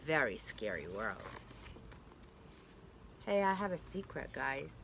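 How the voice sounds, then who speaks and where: Bright-voiced woman teacher reading to young children. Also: deep voice, slight background noise, low-quality recording. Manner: simple, child-directed talk with check-in questions. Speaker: pre-K teacher. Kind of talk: read-aloud of a children's picture book with asides to the class.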